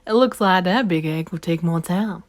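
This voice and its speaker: A woman voicing a caring but stern mother duck in a storybook reading, lively and a little scolding. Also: fairly low pitch, normal speed, natural voice. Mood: motherly.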